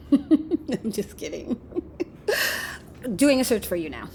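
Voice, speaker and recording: A woman's voice: fairly high pitch, big pitch swings, very slow, creaky, a little mellow, a little hiss or hum, heavily acted. An actress playing a breezy, sardonic, slangy woman who teases her panicking male partner in a naturalistic audio drama. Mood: amused, mocking. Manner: flippant, teasing, slangy.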